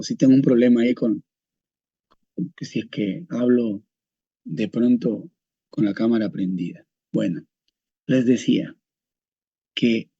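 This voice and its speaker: A man teaches a medical class over a video call, firm and explanatory as he speaks right to his students. His voice is gravelly and mellow, a little husky. The audio comes through dull and lo-fi. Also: mid-range voice, dry recording. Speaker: doctor teaching a medical course. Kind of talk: online lecture.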